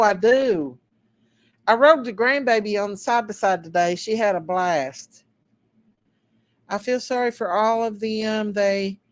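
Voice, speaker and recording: A middle-aged woman from the Kentucky hills speaks with an Eastern Kentucky Appalachian accent at a slow, drawn-out pace. Her voice sits at an ordinary middle pitch. She chats folksily with her viewers and laughs easily. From southeast Kentucky. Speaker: channel host. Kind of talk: casual livestream chat reading comments.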